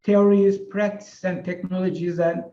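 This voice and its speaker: A man whose first language is Turkish, an associate professor giving a measured, prepared webinar talk with some fillers. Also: high voice, level tone, mellow, echoey room, highs cut off. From Turkey.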